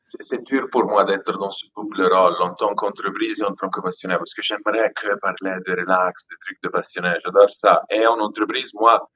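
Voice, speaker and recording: A man from Italy speaking French with an Italian accent, halting and repeating words as he searches for them in a casual live chat. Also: sits low, fairly even intonation, bright, forceful tone, highs cut off. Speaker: aquarium product company founder.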